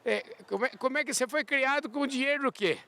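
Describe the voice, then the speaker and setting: A man's voice: extremely high pitch, fast, gravelly, pushed and bright. A man hosting a podcast, interviewing a guest outdoors at a farm show in a chatty, folksy way with eager questions. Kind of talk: casual interview chat.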